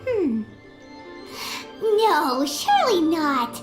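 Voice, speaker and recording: A woman voicing a tiny, excitable fairy companion in a video game, with a squeaky childlike voice and chirpy, theatrical manner. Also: extremely slow, echoey room, over music, exaggerated voice. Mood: excited, pondering. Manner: chirpy, theatrical, refers to herself in third person. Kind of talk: video game character dialogue.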